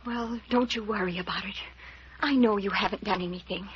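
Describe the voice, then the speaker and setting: A woman's voice: mid-range voice, brisk, lightly acted. An actress playing an anxious, trusting and loyal young woman in a vintage radio serial. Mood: reassuring. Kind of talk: 1940s radio serial acting. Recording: muffled, hissy old-time radio recording.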